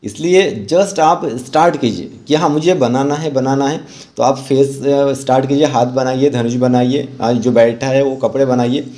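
A man's voice: medium pitch, steady tone, normal speed, mellow. A man with an Indian accent giving an informal, encouraging step-by-step drawing tutorial as an art teacher. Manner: instructional, encouraging. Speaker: rangoli art teacher and channel host.

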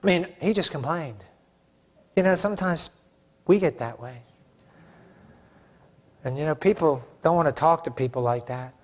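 High-pitched, emphatic male preacher. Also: normal speed, pauses often. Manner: emphatic, folksy, conversational with raised-voice bursts. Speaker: pastor. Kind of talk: sermon. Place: church sanctuary, preaching from a pulpit.

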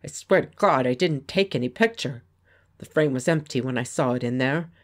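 A woman's voice: very deep voice, moderate pace. A woman reading the part of a frightened, blustering poor male painter who admits a theft, bitter and defensive. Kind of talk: audiobook character voice.

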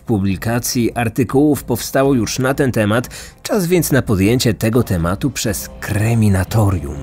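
A man narrates a true-crime podcast from a script. His voice sits at an average pitch, with music playing underneath. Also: darkish tone. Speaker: podcast host. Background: background music.

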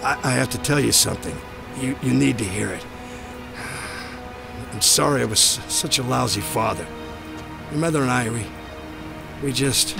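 Guy acting as hurt old-school patrolman dad, croaky and weak, sorry about everything, music behind him. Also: medium pitch, moderate pace, pauses often, natural voice. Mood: pained, remorseful. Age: middle-aged. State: weak, struggling to speak. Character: gravely injured police patrolman father, remorseful. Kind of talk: audio drama acting.

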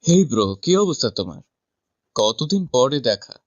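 Friendly young male pal played by a man, audio drama, mid pitch, muffled lo-fi sound. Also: big pitch swings, normal speed, close to an everyday voice. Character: chummy young man greeting his bro. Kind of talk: audio story dialogue. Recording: dull, lo-fi sound.

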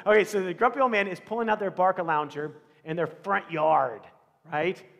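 A middle-aged American man preaching a conversational sermon, with animated storytelling, rhetorical questions and dramatic pauses. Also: high voice, creaky. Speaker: pastor. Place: large church sanctuary, indoors, before a congregation. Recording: reverberant church hall.

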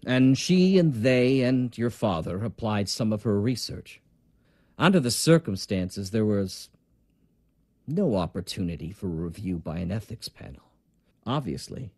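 A man playing a grave, principled academic doctor in an audio drama, speaking in a measured, formal way. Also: lowish voice, expressive, darkish tone, slightly rough, no room sound, studio quality, heavily acted. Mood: grave, explanatory.

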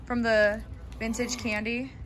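Young woman vlogging on a handheld camera, kinda high voice, chatty and giggly, noisy mall around her. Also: little pitch movement, a bit bright. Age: young adult. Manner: bubbly, chatty, quick gasps and laughs. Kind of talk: casual shopping vlog. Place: indoor shopping mall and candy store.

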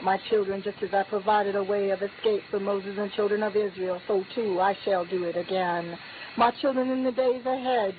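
Woman prophesying over a tinny line. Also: medium pitch. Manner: solemn, repetitive declaring cadence, lifting on key phrases. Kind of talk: prophetic utterance spoken as God. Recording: tinny phone-like line with faint hiss.

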